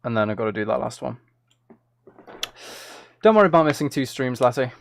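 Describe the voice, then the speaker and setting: A man's voice: mid-range voice, lively intonation, brisk, creaky, over loud music. A man chatting casually on a live stream, playful and self-deprecating, thinking aloud as he works. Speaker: live streamer and music maker.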